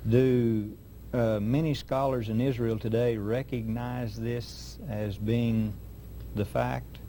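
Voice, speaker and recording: A man hosting an interview, asking questions and telling an anecdote in a measured, deliberate way. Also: mid-range voice, flat delivery, warm tone, slight background noise. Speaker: host interviewer.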